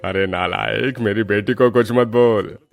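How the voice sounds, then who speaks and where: A gruff but doting older patriarch in a cartoon, voiced by a man. He speaks very slowly with a creaky, gravelly voice, and faint music plays behind. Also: on the low side, no echo. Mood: mock scolding. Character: gruff, doting older father-in-law of the household. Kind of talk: Hindi moral-story cartoon dubbing.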